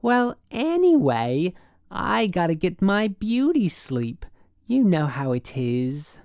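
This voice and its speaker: A woman's voice: lowish voice, sing-song, takes it slowly, a little mellow, no room sound, clean studio sound, voice pushed up, full character voice. A woman voicing a brassy working gal in an audiobook, slangy and suspicious, with affectedly genteel airs. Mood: coy, haughty.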